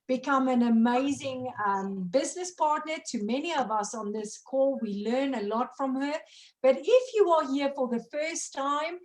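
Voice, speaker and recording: A woman with a high voice hosts a business opportunity call over video. She introduces her guests with friendly, enthusiastic energy. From South Africa. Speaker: host.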